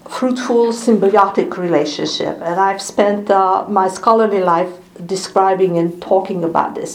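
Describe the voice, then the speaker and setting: A woman in her sixties speaking with a Hungarian accent, addressing an evening audience as a scholar. Her delivery is thoughtful and unhurried. She often pauses briefly to find the next word. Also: medium pitch, a little mellow, poor audio. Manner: thoughtful, unhurried, many small pauses and fillers. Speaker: scholar giving a lecture. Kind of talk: public talk at an evening event.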